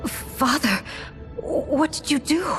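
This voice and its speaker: An actress playing a devoted young princess grieving in a game cutscene. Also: female voice, sits high, expressive, takes it slowly, soft background music, full character voice. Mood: grieving, pleading. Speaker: video game voice actor. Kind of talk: dramatic game cutscene acting. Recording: soft score behind the voice.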